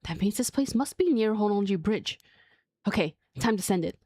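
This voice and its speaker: Woman reading as earnest young woman, lively pitch. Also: moderate pace, breathy edge, no echo, clean studio sound. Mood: earnest, determined. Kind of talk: reading game dialogue aloud.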